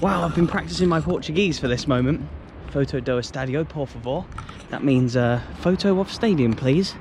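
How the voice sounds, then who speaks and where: An English man narrating his football travel vlog to a handheld camera, eager and full of exclamations. His voice sits a little high. Faint outdoor noise runs under the recording. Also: normal speed, a little mellow. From England. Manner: enthusiastic, exclaims often. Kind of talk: excitable walking vlog to camera.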